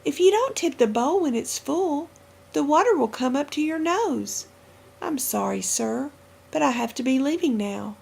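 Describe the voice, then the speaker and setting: Polite little boy, read by a woman, careful and courteous, a bit high, husky and gravelly. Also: lively intonation, normal speed, darkish tone. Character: polite young boy Tom.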